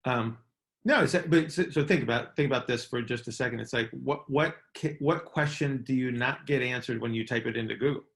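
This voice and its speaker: Man, middle-aged, gravelly creaky voice, casual coaching talk, explanatory, emphatic repetition, frequent check-ins. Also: mid-range voice, a little fast, fairly bright tone. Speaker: coach hosting a group conference call.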